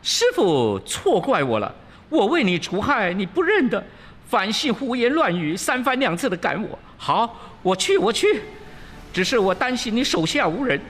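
A man voicing a brash, cocky, quick-tempered monkey warrior in an excitable, defiant storybook manner. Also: sits high, long reverb tail, pitched above the performer's own voice, full character voice. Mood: aggrieved.

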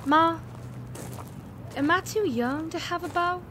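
A woman's voice: very high-pitched, slow pace, background noise. A woman playing an earnest, unsure eighteen-year-old girl in a 1930s audio drama. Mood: fretful, unsure. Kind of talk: scripted audio drama dialogue.

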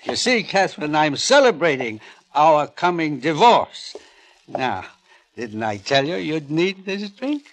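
An actor playing a cold, vindictive husband with a sardonic, deliberate, theatrical delivery in a 1950s radio drama. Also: male voice, on the high side, big pitch swings. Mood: mocking.

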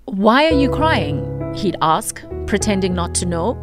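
A woman's voice: mid-range voice, sing-song, a little slow, music in the background. A woman co-hosting a morning radio show, chatting casually and then reading a book passage aloud in a radio studio.

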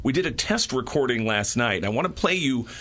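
An American man interviews guests on the radio in a mid-pitched voice. He is talkative and jokey, keeping things conversational. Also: animated, fairly quick, a bit bright. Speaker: radio interviewer and cast member.

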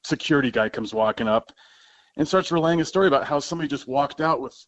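A man's voice: fairly high pitch, fast talker, no room sound. American male caller, dull dry phone sound. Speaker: caller to a radio show. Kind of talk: casual anecdote telling.

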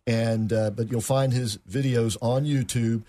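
A man's voice: mid-range voice, fairly even intonation, unhurried, warm tone. A man hosting a radio talk show, interviewing a guest with frequent restarts.

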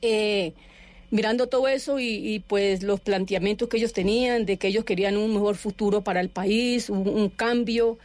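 A middle-aged woman gives her own account in an interview. She talks haltingly and restarts her sentences often. She sits a little too far from the microphone, so her voice comes through distant. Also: medium pitch, fairly even intonation, a little mellow, dry recording. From Colombia. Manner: halting, with many restarts and fillers. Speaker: interview guest. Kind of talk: personal testimony in an interview. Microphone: sitting too far from the microphone.

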